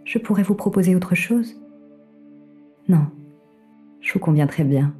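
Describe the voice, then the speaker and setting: A woman acts as a devoted female artificial intelligence in an audio drama. Her voice is dark, soft and on the low side, with polite, intimate delivery over faint music. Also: unhurried, lightly acted. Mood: anxious, confessing. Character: female AI assistant in a robot body, devoted, confessional. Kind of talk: French audio drama acting, intimate dialogue.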